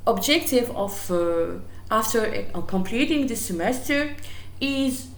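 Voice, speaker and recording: A woman's voice: fairly high pitch, fairly bright tone, echoey room. A woman with an Algerian accent, a native Arabic speaker, giving a university English lecture with frequent fillers and restarts. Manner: hesitant, many fillers and restarts. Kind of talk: recorded lecture.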